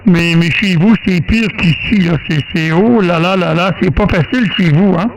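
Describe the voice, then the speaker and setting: A man with a Quebec French accent chatting casually as a radio operator, folksy and halting, often repeating words. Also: high-pitched, muffled recording. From Quebec, Canada. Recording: faint radio noise.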